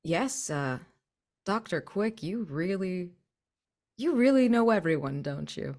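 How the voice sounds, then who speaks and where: A woman with a notably low voice takes part in a tabletop roleplaying game. She talks casually, moving between describing her character and speaking as them. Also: lively intonation, takes it slowly, a little mellow.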